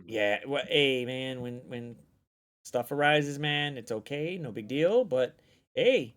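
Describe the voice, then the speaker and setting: A man hosting a casual stream chat, excitable and loose, swearing freely and repeating himself. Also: mid-range voice, takes it slowly. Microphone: desk microphone.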